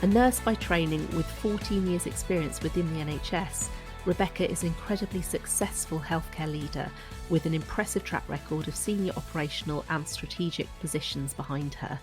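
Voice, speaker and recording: A woman's voice: low-pitched, fairly quick, over music. A woman hosting a podcast, reading a polished scripted introduction and asking interview questions. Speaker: podcast host.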